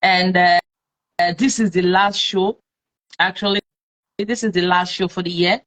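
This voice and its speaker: A woman's voice: on the low side, steady tone, unhurried, fairly bright tone. A Nigerian woman hosting a live-streamed talk show, chatting with followers and leaning on plenty of fillers. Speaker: host.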